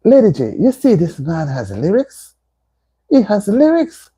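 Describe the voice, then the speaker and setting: A woman's voice: medium pitch, huge pitch swings, a little slow, dark tone, slightly rough. A woman hosting a casual livestream from home, laughing and teasing as she reads viewer comments aloud. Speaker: livestream host. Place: indoors at home.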